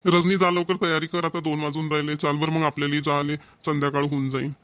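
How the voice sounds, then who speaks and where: A man's voice: on the high side, level tone, quick pace, fairly bright tone, close to an everyday voice. A man playing a doting, proud middle-aged village father in a cartoon, with a rural Maharashtrian Marathi accent and earnest, homely manner. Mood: impressed. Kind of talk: Marathi cartoon family comedy voice-over.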